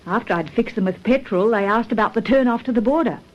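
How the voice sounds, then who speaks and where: A woman's voice: fairly high pitch, quick pace, dull audio, lightly acted. Woman as a blunt Australian outback local, noisy recording. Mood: chatty, curious. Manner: matter-of-fact, colloquial. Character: blunt, chatty outback petrol-stop woman. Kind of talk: radio detective drama acting.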